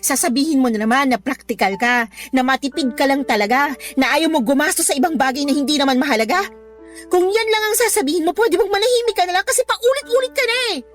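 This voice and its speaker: A woman's voice: sits high, fast, faint music behind, close to an everyday voice. An actress playing a hurt, indignant young girlfriend who argues emotionally in a Tagalog radio drama. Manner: emotional, argumentative.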